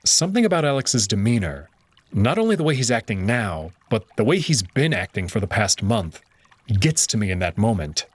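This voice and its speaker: A man narrating a visual novel in the first person, measured and tense. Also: on the low side, very animated, breathy edge.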